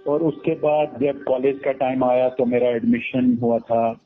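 Man, middle-aged, from Kashmir, hesitant, English phrases mixed in, slow, tinny radio audio with faint music. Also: medium pitch, fairly even intonation. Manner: hesitant, many pauses, mixes English phrases. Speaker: radio guest. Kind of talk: casual interview chat.

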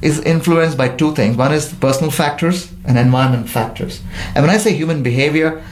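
A man's voice: a little high, brisk, slight background noise. A man on a discussion panel, explaining his points at length and steering the talk back to the topic.

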